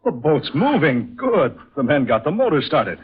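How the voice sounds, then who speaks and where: A commanding yacht owner in an old radio play. His voice is very husky and highly animated, gruff and skeptical. It comes through a dull, muffled vintage recording. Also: normal speed, full character voice. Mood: brisk. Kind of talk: 1940s radio acting.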